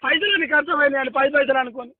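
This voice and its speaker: A man's voice: extremely high pitch, very fast, dry recording. A man with a rural Telangana Telugu accent calling customer care, pleading insistently and repetitively in informal, rustic speech over the phone. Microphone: telephone line. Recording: dull lo-fi phone call.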